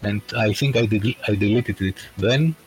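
A man from Greece chatting as a podcast guest, speaking slowly and hesitantly with lots of fillers. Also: fairly low pitch, faint music behind, poor audio. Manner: slow and hesitant, many fillers. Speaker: podcast guest, bot creator. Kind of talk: casual chat.

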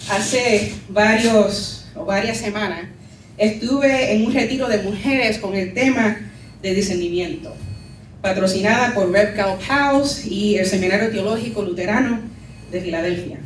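A woman pastor from Puerto Rico, raised in New York, with a Nuyorican Spanish accent, giving an earnest and measured conference talk. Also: medium pitch, slight background noise. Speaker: pastor and lecturer. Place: conference hall. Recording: echoey hall, distant mic, faint music.

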